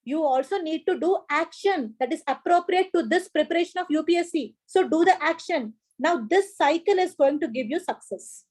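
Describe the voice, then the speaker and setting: A woman's voice: very high voice, animated, normal speed, hardly any pauses, darkish tone. A woman with an Indian English accent teaching a live online class on a video call, encouraging and repeating key points while greeting students by name. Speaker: online exam coaching teacher. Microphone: laptop or headset mic on a video call.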